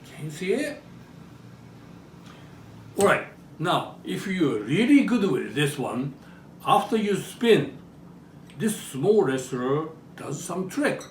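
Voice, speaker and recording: Male demonstrator, Japanese accent, higher-pitched and lively intonation, frequent questions to audience, roomy distant-mic sound. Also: slow pace, pauses often, slight background noise. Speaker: presenter demonstrating traditional toys. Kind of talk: live show-and-tell demonstration.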